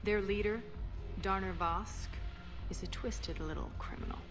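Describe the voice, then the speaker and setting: A woman's voice: mid-range voice, unhurried, natural voice. An actress playing a cynical, imperious female alien crime boss in a video game, dry and commanding. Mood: dry, guarded. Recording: long reverb, music behind. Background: prominent music.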